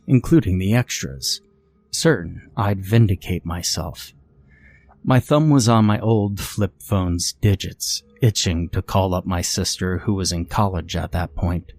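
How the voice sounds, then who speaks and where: A man narrating a scripted first-person horror story in a measured, deliberate way. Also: low voice, darkish tone.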